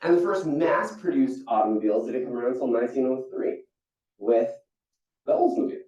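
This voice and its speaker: A young man from Singapore gives a storytelling keynote with a mellow, darker voice, often restarting his sentences. He sounds far from the mic in an echoey hall, and the recording is dull and muffled. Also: fairly quick. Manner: storytelling, animated with jumps in pitch and frequent restarts. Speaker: keynote speaker. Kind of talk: motivational keynote talk. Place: conference hall.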